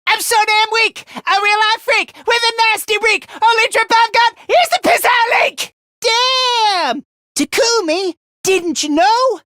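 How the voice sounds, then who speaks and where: A man's voice: extremely high pitch, sing-song, a little slow, bright, forceful tone, no room sound, full character voice. A man voicing a timid, anxious, self-loathing young male student in an anime video game, with a thin voice and a stammering, whiny delivery.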